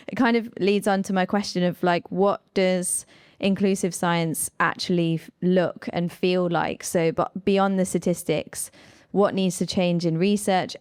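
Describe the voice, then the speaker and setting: A woman's voice: fairly low pitch, darkish tone, smooth, no room sound, clean studio sound. A woman moderating a live panel discussion, talking informally and often using "like" as she thinks aloud. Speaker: panel moderator, science researcher.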